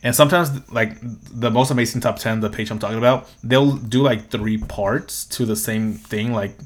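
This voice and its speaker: A man's voice: mid-range voice, moderate pace. A young man hosting a solo podcast, talking in a casual, rambling way, swearing freely and laughing often. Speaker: podcast host.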